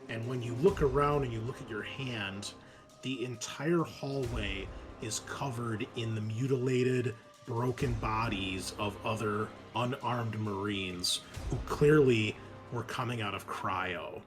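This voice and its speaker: Male game master, horror tabletop narration, halting delivery, music underneath. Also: mid-range voice. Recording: music behind the voice.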